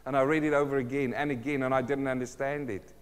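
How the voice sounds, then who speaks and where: Earnest pastor. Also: male voice, mid-range voice, little pitch movement, quick pace, smooth, long reverb tail. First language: Afrikaans. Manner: earnest, addresses listeners as friends, asks rhetorical questions. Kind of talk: sermon.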